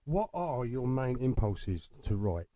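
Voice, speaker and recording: A man co-hosting a podcast, politely putting casual interview questions in a measured way. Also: mid-range voice, very animated, mellow, no room sound.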